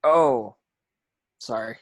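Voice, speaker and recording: A woman's voice: very deep voice, very slow, full character voice. A woman playing a sullen, subdued teenage schoolboy, terse and downcast, in an amateur script read with some hiss.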